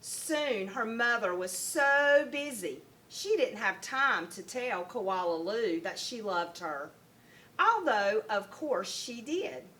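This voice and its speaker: A woman with a very bright, fairly high voice reads a children's story aloud. She takes her time and tells it expressively, like a first grade teacher. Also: animated, slow pace. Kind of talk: children's picture book read-aloud.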